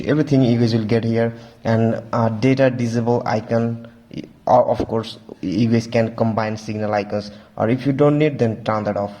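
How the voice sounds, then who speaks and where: A man giving a casual, halting walkthrough as a tech reviewer, often repeating stock phrases. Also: mid-range voice, mellow. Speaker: tech reviewer, video host.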